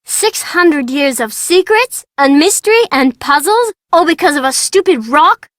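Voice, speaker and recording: A woman's voice: sits high, animated, unhurried, a bit bright, no room sound. A woman voicing a bratty, know-it-all young girl from an old English family in a video game. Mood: indignant. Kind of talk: video game character dialogue.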